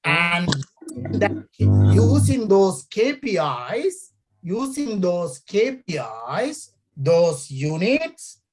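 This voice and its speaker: A woman's voice: lowish voice, big pitch swings, very slow, a little mellow, soft background music. A middle-aged woman from Sri Lanka, an academic talking through her research in a hesitant way, with many fillers and repeated key phrases. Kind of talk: conversational interview.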